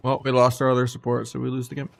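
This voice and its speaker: A man's voice: mid-range voice, completely flat delivery, rapid-fire, creaky. A man giving casual, sardonic commentary on his own ranked match, muttering and swearing freely. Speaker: game streamer.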